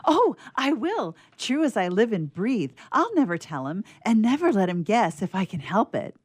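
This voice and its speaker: A woman playing an eager, chatty, excitable schoolgirl in a radio theatre piece. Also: mid-range voice, normal speed, slightly rough. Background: harp underscoring.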